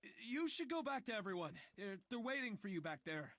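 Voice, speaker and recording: A man's voice: high voice, very animated, brisk, fairly bright tone, dry recording. A man voicing an anxious, self-effacing young man in a visual novel game, hesitant and apologetic, often stammering. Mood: nervous, evasive.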